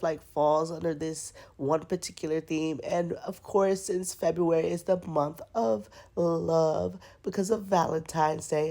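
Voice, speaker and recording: A young woman talks unhurriedly in a lowish, gravelly voice, stuffed up and congested. She rambles casually with plenty of fillers, like a chatty planner vlogger. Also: mellow. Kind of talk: casual voice-over chat.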